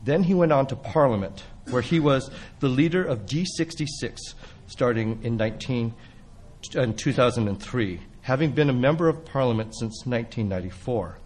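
A man's voice: medium pitch, expressive, normal speed, darkish tone, a little hiss or hum. A man acting as host, formally introducing a guest speaker to an audience. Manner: formal, reads a prepared introduction. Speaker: event host.

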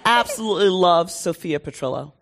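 A woman's voice: fairly low pitch, lively intonation, brisk, slight background noise. A woman moderating a casual live interview before an audience, enthusiastic and given to repeating phrases for emphasis.

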